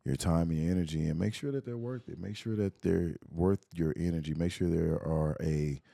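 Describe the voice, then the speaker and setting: A young man co-hosting a podcast in casual chat, relaxed and repeating phrases for emphasis. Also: low-pitched, normal speed, vocal fry, dry recording, clean studio sound. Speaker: podcast co-host.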